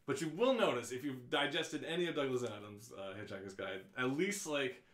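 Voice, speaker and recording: A man's voice: mid-range voice, animated, fairly quick, a bit bright. A man hosting a casual, unrehearsed live show, talking and reading aloud in a rambling, jokey way. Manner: rambling, self-interrupting, jokey. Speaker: show host. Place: indoors in an office. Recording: roomy, echoey office.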